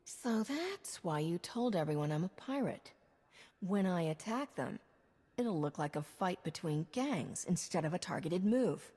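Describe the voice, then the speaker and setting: A woman's voice: lowish voice, expressive, measured pace, dark tone, slightly rough. A woman voicing a wry, cocky smuggler in a video game, with a casual way of talking.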